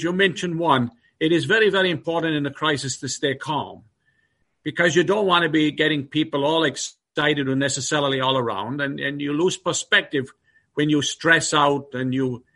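Gravelly calm man, New York accent. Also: fairly high pitch. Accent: New York with Irish traces. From Ireland. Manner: measured, repetitive, steady. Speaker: guest executive. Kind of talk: interview answers.

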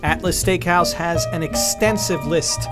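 A man co-hosting a radio show, reading a sponsor plug with drawn-out salesman-like patter and banter. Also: on the high side, over music. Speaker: radio co-host. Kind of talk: live sponsor read with banter.

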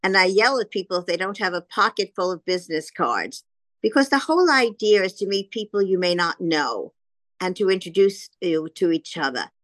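A woman's voice: lowish voice, animated, normal speed, fairly bright tone, no echo. A woman from New hosting a podcast interview with gushing, effusive praise for her guest. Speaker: podcast host, publisher.

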